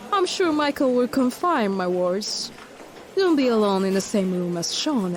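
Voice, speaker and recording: Woman voicing a sugary mean doctor lady, swoopy lively voice, bit of hiss behind her. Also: medium pitch, natural voice. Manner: honeyed, condescending, calls people dear and darling. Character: cold, sardonic female hospital doctor. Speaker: attending doctor. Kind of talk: audio drama acting.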